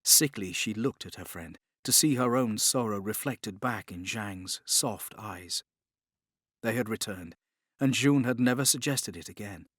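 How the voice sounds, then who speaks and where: A man's voice: fairly low pitch, measured pace, fairly bright tone, no room sound, studio quality. A man reading scripted dramatic narration as an audiobook narrator.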